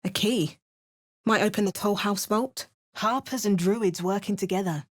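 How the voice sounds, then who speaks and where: A woman's voice: medium pitch, moderate pace, close to an everyday voice. A woman voicing a cautious, sardonic adventurer in a fantasy game, delivering short in-game remarks. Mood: wry. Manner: dry, sardonic asides. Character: wry adventuring heroine, dry and cautious. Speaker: player character. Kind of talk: game voice lines.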